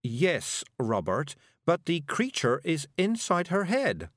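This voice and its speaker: A man's voice: a little high, wildly swooping pitch, takes it slowly, no room sound, clean studio sound, pitched above the performer's own voice, heavily acted. A man voicing a polite, puzzled, kindly woman with a hesitant, questioning manner in a storybook reading. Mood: doubtful.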